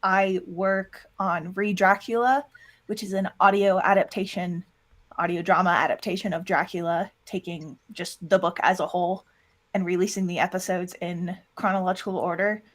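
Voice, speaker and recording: A woman's voice: on the low side, fairly even intonation, moderate pace. A woman chatting casually as an interview guest, talking in a self-deprecating way. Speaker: interview guest, audio drama producer and voice actor.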